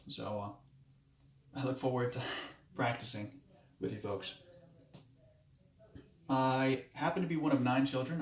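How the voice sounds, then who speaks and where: A man in his late twenties, raised in Moldova with Romanian as his first language, introduces himself for a class in a halting way, often restarting his sentences. His pitch sits in an ordinary middle range. The recording has a noticeable room echo. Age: 20s. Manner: hesitant, halting, many restarts. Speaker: student. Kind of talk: self-introduction speech.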